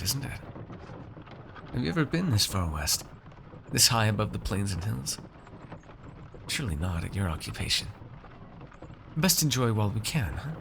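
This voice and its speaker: Haughty noble master , acted by a man, fantasy ASMR roleplay: lowish voice, measured and wordy condescension, some background noise. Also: vocal fry. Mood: musing, calm. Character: haughty, shrewd nobleman master coaxing a frightened purchased mage servant.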